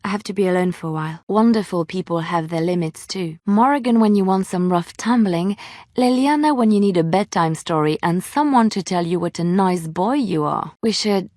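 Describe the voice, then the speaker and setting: An actress playing a devout, romantic young woman adventurer in a video game, heartfelt, coy and emotionally expressive. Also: on the low side, normal speed, no room sound, studio quality, lightly acted. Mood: wounded, pleading. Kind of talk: video game dialogue acting.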